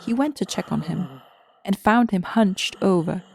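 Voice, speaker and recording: A woman's voice: mid-range voice, lively intonation, unhurried, creaky, a little mellow, husky, no room sound. A woman narrating a scripted story in a storybook style.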